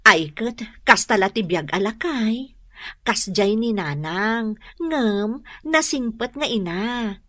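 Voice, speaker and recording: A woman's voice: on the high side, expressive, takes it slowly, pushed and bright, dry recording, full character voice. A woman playing an earnest, coaxing young wife in a radio drama, with an Ilocano accent. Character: earnest pregnant young wife, gentle but insistent peacemaker.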